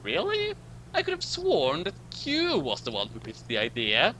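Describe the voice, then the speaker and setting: A man gives casual, joking commentary in a bright, forceful voice. His pitch swings very widely, and there is clear noise and hum behind him. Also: wildly swooping pitch, normal speed, poor audio.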